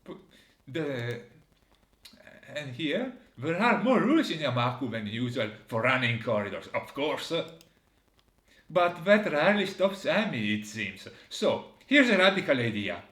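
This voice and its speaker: A man speaking as a comments on a game in an exasperated, sarcastic tone. His delivery is halting and hesitant, at an average pitch. The room echo is clear around his voice. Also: big pitch swings, a bit bright. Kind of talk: casual critical game commentary, reading out dialogue. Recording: roomy, echoey voice recording.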